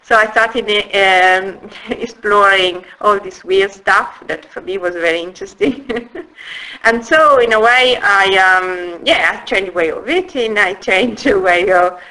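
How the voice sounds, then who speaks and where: A woman in her forties with an Italian accent, telling her life story in a halting way, with restarts and soft laughs. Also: mid-range voice, poor audio. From Italy. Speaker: interviewee. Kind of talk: personal life story in conversation.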